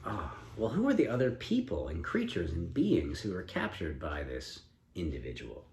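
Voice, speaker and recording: A man improvising a story as a storyteller, speaking in a deliberate, inquisitive and encouraging manner. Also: low voice, wildly swooping pitch, darkish tone. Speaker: storyteller and co-host with a child. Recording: echoey room, faint background noise.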